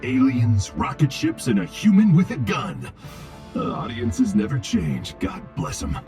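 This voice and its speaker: An actor playing a vain, grumbling robot film director from a game, with acid-tongued, theatrical Hollywood patter. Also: male voice, medium pitch, sing-song, measured pace, vocal fry, darkish tone, faint music behind. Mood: showy, dismissive.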